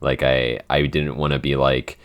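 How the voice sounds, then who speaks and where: A man's voice: very deep voice, level tone, normal speed, smooth, muffled recording. A young man chatting casually as a podcast host, rambling with many fillers and restarts. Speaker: podcast host and show writer. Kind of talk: casual chat.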